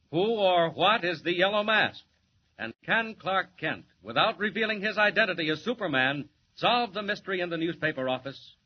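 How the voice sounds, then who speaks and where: A man announcing a 1940s radio serial in a dramatic, urgent style full of rhetorical questions. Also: fairly high pitch. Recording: muffled noisy old radio recording.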